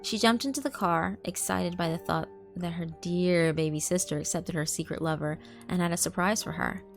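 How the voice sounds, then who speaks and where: Low-voiced woman narrating horror. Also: vocal fry. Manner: measured and dark, with hushed asides. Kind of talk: scripted horror story narration. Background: faint background music.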